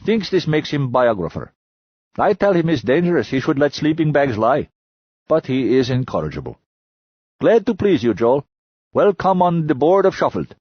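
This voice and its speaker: A man voicing a blunt miner who speaks in broken, clipped English, talking higher than his own voice. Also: very animated, normal speed, no room sound, dull audio.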